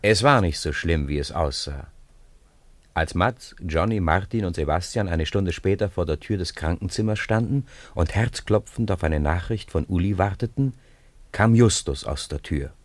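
A man narrating a 1950s radio play in an expressive storytelling manner. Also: low voice, normal speed, no room sound. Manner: expressive storytelling with lively intonation.

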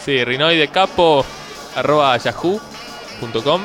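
A man with a Rioplatense Argentine accent playing a bumbling, callous doctor in a radio comedy sketch, deadpan and hesitant. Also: a little high, a little slow, over music, lightly acted. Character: shady, bumbling thirty-something doctor, casually callous.

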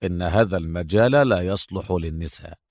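A man's voice: deep voice, normal speed, breathy edge, dry recording, voice pushed down. A man voicing a stern, sardonic secret agent with a dry, cold manner in an audiobook. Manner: dry, clipped, muttering through teeth. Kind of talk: acted audiobook dialogue.

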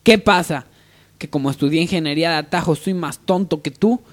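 A man's voice: a little high, fairly quick, close to an everyday voice. A man playing a domineering company boss in a parody radio soap, imperious and melodramatic. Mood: angry, scolding. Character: stern, domineering male coffee company boss.

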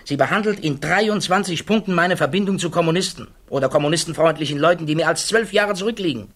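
A man playing a thoughtful, troubled physicist testifying at a hearing in a 1960s German radio play, answering in measured, precise, guarded words. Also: a little high, fairly bright tone. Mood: grave, unsettled.

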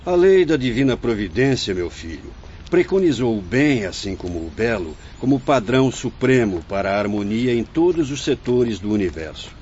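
Man playing a benevolent old sage, expressive Brazilian voice. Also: medium pitch, slight background noise, natural voice. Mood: gently instructive. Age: older. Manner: measured, benevolent, didactic. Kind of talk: Brazilian radio drama acting. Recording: muffled audio with music.

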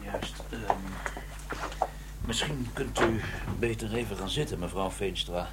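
An actor with a Netherlands Dutch accent playing a blunt, wry chief inspector in a radio drama, dryly persistent. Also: male voice, fairly low pitch, measured pace, very noisy, close to an everyday voice. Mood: hesitant, official.

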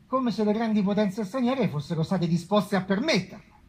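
A man's voice: high-pitched, lively intonation, normal speed, husky. A man acting a troubled, solemn pope in a theatrical stage drama, indignant and fearful of conflict. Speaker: stage actor and narrator. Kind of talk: theatrical Italian stage monologue. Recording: live theatre piece with music.

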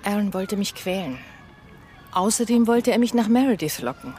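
A woman's voice: mid-range voice, animated, normal speed, warm tone, a little hiss or hum, close to an everyday voice. An actress in a German radio crime drama playing a protective mother shaken by her daughter's kidnapping. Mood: subdued, grave.

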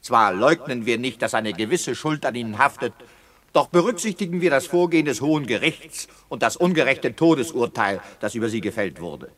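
A highly animated man of middling pitch as an indignant director in a vintage 1960s radio drama. His diction is formal and his delivery emphatic, built for argument and protest. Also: a little fast. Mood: urgent, protesting. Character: indignant, argumentative male radio play director challenging injustice. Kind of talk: 1960s German radio play acting.

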